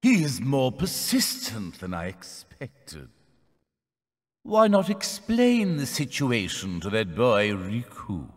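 An actor playing a scheming, gloating sorcerer vizier in a video game, theatrical, haughty and menacing. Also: male voice, mid-range voice, wildly swooping pitch, takes it slowly, big-room sound, exaggerated voice. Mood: smug menace. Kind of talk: animated video game acting.

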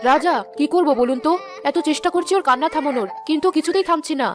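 A cartoon queen, gentle and grateful, high and quick in delivery, as a woman voices her. Music plays under her. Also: close to an everyday voice. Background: background music.

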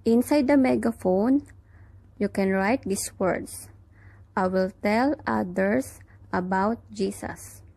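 A young woman teaching children in church, giving gentle, careful craft instructions. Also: medium pitch, slow pace, creaky. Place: small room at home, indoors.

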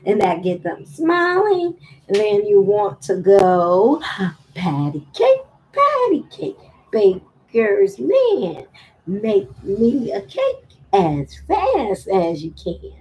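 Slow-talking woman teaching parents baby rhymes. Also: mid-range voice, huge pitch swings, darkish tone, a little hiss or hum, rough recording. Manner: slow, deliberate, with singsong baby-talk chanting. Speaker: early childhood teacher, host of infant read-along. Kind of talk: instructional demonstration for parents of babies. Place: room at home.

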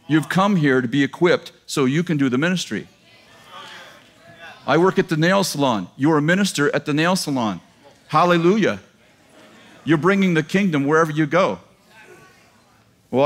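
A man in his fifties preaching a sermon in a large church auditorium to a live congregation, talking in a casual joking way and laughing at his own lines. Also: a little high. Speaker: pastor. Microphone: headset mic.

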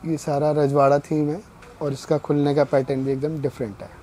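A middle-aged male shopkeeper talks a customer through his stock in steady, explanatory sales patter. His voice sits a little high but sounds mellow and soft-edged. Faint shop noise hums behind him in a small indoor store. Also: a little fast. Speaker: wedding card shopkeeper. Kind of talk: showing products and prices to a customer.

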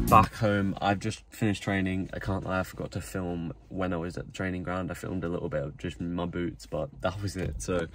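A seventeen-year-old young man, chatting casually to a handheld phone camera about his day. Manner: relaxed, chatty, low-key. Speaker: vlogger, youth footballer. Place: gym, kitchen, bedroom and car. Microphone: phone held at arm's length.

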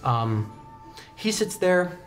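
A man's voice: high voice, sing-song, drawn-out words. A man in his twenties improvising narration as the game master of a tabletop game. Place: indoor studio set. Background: background music.

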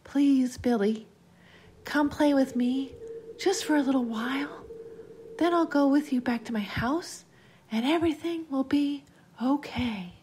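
A woman voicing a sinister spirit that poses as a sweet little girl, drawn-out and coaxing. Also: high voice, darkish tone, slight background noise, pitched above the performer's own voice. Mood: pleading, coaxing.

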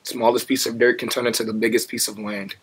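A young man speaks in a husky, mid-pitched voice over a video call. His talk is casual and slangy, full of short motivational lines. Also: little pitch movement, fast, fairly bright tone. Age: young adult. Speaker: stranger on a video chat, motivational poster. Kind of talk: casual street-slang chat with motivational advice.

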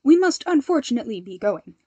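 A woman's voice: sits high, very animated, fairly quick, mellow, breathy edge, no echo, full character voice. A woman voicing a stiff, earnest young hero leader who speaks formally and emphatically. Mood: earnest, emphatic.

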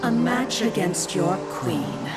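Vengeful ancient ghost queen in a game, regal, menacing, slow, loud music under it. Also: fairly low pitch, expressive. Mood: haughty, menacing. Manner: regal, menacing, archaic phrasing. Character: imperious, vengeful ancient spirit queen possessing a woman. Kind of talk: video game voice acting.

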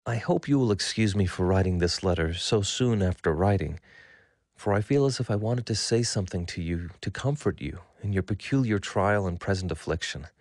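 An actor reading old letters as a devout 19th-century husband, earnest, formal and solemn, for a documentary. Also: male voice, deep voice, animated, moderate pace, a little mellow, no room sound. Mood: tender, prayerful. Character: devout 19th-century husband writing tenderly to his wife.

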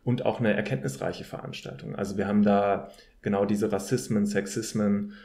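Man, low dark voice, flat intonation, academic guest, measured conversational explaining. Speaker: podcast guest, political scientist.

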